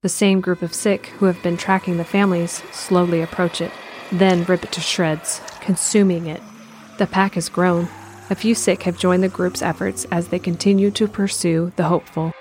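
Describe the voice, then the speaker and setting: A woman's voice: fairly low pitch, flat delivery, normal speed, darkish tone, a little hiss or hum. A woman reading scripted narration for an audio drama. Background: faint music.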